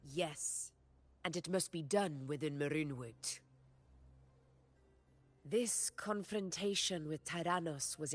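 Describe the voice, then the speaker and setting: Slow, commanding fantasy warrior leader. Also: female voice, low-pitched, heavily acted. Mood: grave, determined. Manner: solemn, measured, authoritative. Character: composed, commanding female warrior leader briefing a hunt. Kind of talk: scripted fantasy game dialogue.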